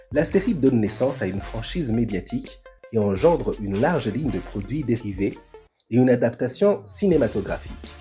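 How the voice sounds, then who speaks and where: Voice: mid-range voice, mellow. A presenter giving a scripted, explanatory lesson in a didactic way, stressing key words. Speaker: presenter of an educational French-language TV segment. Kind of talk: scripted teaching narration, explanatory.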